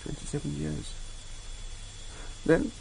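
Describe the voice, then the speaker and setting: An older man playing a courteous, grieving, devoted secretary in a radio drama, speaking haltingly. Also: a little high, level tone, creaky, a little mellow, heavily acted, low-quality recording. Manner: genteel, halting, repeating himself. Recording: hissy vintage radio drama tape.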